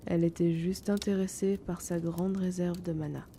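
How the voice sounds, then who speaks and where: A woman playing a gentle, timid, wounded young male potion seller in an intimate fantasy audio drama, speaking softly, hesitantly and often breathily. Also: fairly low pitch, steady tone, normal speed, slight background noise, lightly acted. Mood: bitter, subdued.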